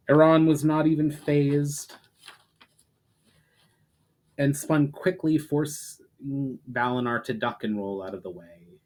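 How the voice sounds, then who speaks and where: A man's voice: mid-range voice, a little mellow. Man giving an emphatic dramatic story reading. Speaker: writer reading own story aloud. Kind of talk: dramatic fiction audiobook-style reading.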